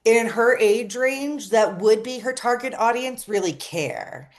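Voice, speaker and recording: Blunt, emphatic woman co-host. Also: fairly high pitch. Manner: blunt, emphatic, quick reactions. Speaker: podcast co-host. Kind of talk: casual reality TV gossip chat.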